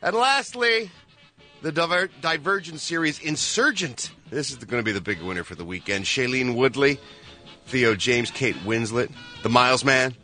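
Bright, jokey male movie reporter on radio, faint music behind. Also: mid-range voice, normal speed. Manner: jokey, wisecracking. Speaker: entertainment reporter. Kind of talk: movie news segment on radio.

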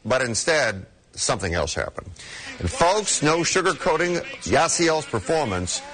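Wry male TV sportscaster, slightly high, lively, soft music under him. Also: measured pace, rough recording. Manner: wry, mocking delivery. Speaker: TV sports reporter. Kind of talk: TV sports report.

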